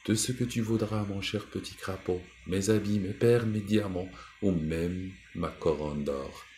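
A man's voice: sits low, a little slow, darkish tone, slight background noise, pitched above the performer's own voice. A man voicing a spoiled young princess in a storybook tale. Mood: eager.

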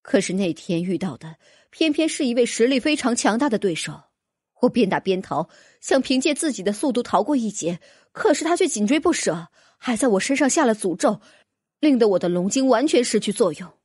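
A woman's voice: a little high, big pitch swings, fairly quick, a little mellow, breathy edge, no room sound, studio quality. A woman voicing an anxious dragon noblewoman in a fantasy audiobook, earnestly recounting a frightening ordeal with apprehension. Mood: distressed.

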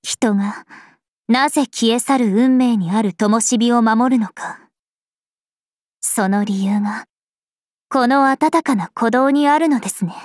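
Regal, slow-spoken spirit princess, acted by a woman. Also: on the high side, darkish tone, dry recording, close to an everyday voice. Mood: serene, reflective. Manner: formal, measured, elegant. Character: regal, serene young female planetary spirit princess. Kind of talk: scripted anime game voice lines. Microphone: studio microphone. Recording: music behind a close dry studio voice.

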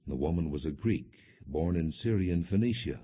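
Deep husky male Bible narrator, muffled recording. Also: animated, normal speed. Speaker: audiobook narrator. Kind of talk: scripted narration, non-dramatized Bible reading. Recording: muffled, narrow-band lo-fi audio.

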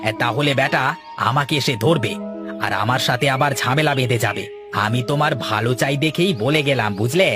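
A man's voice: medium pitch, brisk, lightly acted. A man voicing a jealous, gossiping villager in a cartoon fairytale, mocking and conspiratorial. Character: jealous, gossiping male villager, sly troublemaker. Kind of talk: animated moral fairytale dialogue. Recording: music behind the voice.

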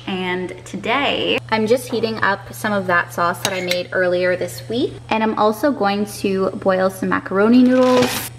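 A woman in her twenties chatting casually to the camera in her home kitchen, calm and friendly, recorded on a handheld camera. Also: mid-range voice, reverberant, a little hiss or hum, low-quality recording. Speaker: vlogger. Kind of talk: casual food vlog chat. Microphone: camera held at arm's length.

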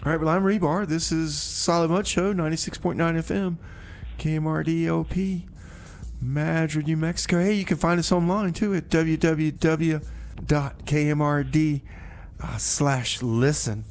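A man's voice: a little high, fairly even intonation, normal speed, a little mellow. A man hosting a community radio music show, back-announcing songs in a rambling, wry way. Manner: rambling, self-interrupting, wry. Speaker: radio DJ and host. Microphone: studio microphone.

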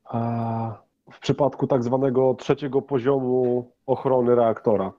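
A man's voice: medium pitch, steady tone, a little slow, lo-fi sound. A man acting as game master, explaining things to players in a tabletop game.

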